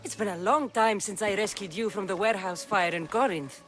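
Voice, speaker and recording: A woman's voice: medium pitch, fairly quick, a bit bright, soft background music, close to an everyday voice. A woman playing a defiant, wry Spartan-born mercenary in a video game, with a firm, dry delivery. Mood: determined, wry.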